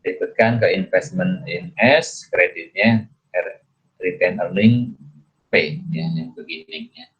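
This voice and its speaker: A man lecturing through a worksheet in a halting way, thinking aloud with questions and frequent check-in tags. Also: deep voice. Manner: repeatedly adds "ya" as a check-in tag. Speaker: accounting lecturer. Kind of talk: explanatory screen lecture, working through a worksheet. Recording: dull, muffled lo-fi recording.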